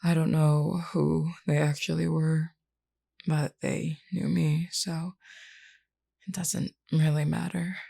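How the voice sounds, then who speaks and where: A woman's voice: low voice, flat delivery, slow, gravelly, mellow, husky, full character voice. A woman voicing a flirty, wounded female werewolf, teasing and weary, sighing through pain in an intimate audio roleplay. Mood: weary, candid. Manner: teasing, sighing, calls listener hun.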